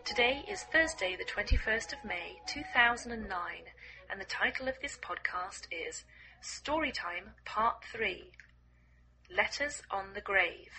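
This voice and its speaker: A hoarse English woman hosting a podcast for English learners, reading a story aloud slowly and clearly. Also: medium pitch, animated, over music, poor audio. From England.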